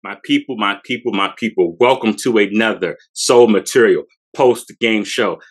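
A man's voice: lowish voice, moderate pace. A man in his forties, a sneaker podcast co-host, talks up a shoe from his collection in a hyped, slangy way, often repeating phrases, in a small room. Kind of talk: casual sneaker showcase. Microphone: fixed camera at close range.

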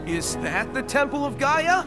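An actor playing a cocky young cartoon hedgehog hero with a breezy, sarcastic, confident delivery for a game cutscene. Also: male voice, extremely high pitch, sing-song, a little slow, exaggerated voice. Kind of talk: animated game cutscene acting. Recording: music under AI-converted voice.

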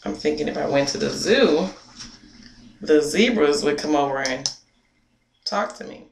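A woman's voice: low-pitched, expressive, a little slow, creaky. A young woman chatting casually to a webcam about her hair, playful and amused, sometimes dropping to a whisper. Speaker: hair vlogger. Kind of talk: casual vlog chat. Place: small indoor room. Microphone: webcam at close range. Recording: echoey room with faint noise.

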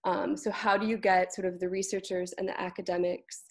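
A woman's voice: lowish voice, level tone, fairly quick. A young woman speaking as a panelist, explaining things in a discussion over a video call. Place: indoor room on a video call.

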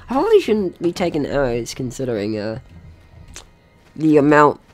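Man with wildly swinging pitch, gaming commentary. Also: huge pitch swings, moderate pace, soft background music. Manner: playful, exclaiming, thinking aloud. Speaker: gaming video host. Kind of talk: casual let's play commentary.

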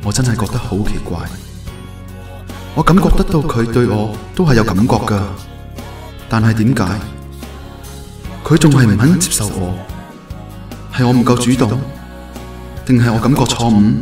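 A man's voice: mid-range voice, expressive, moderate pace, long pauses, a little mellow, natural voice. A man acting a devoted, earnest and unsure young man in love in a radio drama, with a Hong Kong Cantonese accent. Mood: wistful. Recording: roomy echo, music bed.

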